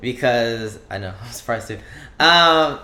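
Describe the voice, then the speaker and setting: A man's voice: medium pitch, expressive, measured pace, sharp tone. A man hosting a weekly live show, talking in an upbeat, enthusiastic and playful way. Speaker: host. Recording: echoey room.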